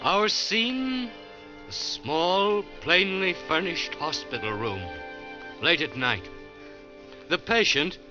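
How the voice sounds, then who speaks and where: Formal old time radio host guy, highish lively voice, dramatic, dull vintage sound. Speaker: host and narrator. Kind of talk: 1940s radio scripted narration. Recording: dull vintage radio recording, music behind.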